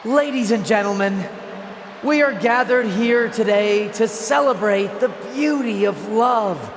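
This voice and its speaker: A man's voice: high voice, a little slow, long reverb tail, a little hiss or hum. An actor voicing a nervous male TV producer officiating a wedding in a video game, speaking in a formal, ceremonial way. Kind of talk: video game cutscene acting.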